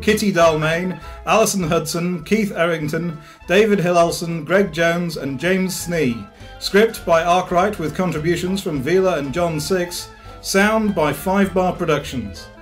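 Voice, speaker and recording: A man's voice: high voice, unhurried. A man reading a scripted closing announcement for a radio drama. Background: guitar music.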